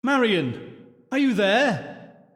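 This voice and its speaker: A man's voice: very high voice, very animated, very slow, fairly bright tone, pitched above the performer's own voice, heavily acted. A man voicing an anxious woman in a horror story, calling out with urgent, pleading delivery. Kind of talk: dramatic horror story reading. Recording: long cavernous reverb.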